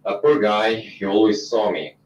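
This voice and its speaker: A middle-aged Romanian man with a very low voice and a Romanian accent tells his own story as a talk show guest, halting and animated, often restarting his sentences. He sits away from the microphone, so his voice comes across distant and echoey. The live-stream sound is dull, with faint noise behind it.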